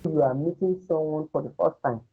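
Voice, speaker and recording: A man teaching an online language lesson, patiently explaining slowly over a video call. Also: a little high, gravelly, breathy edge. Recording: dull lo-fi video call audio.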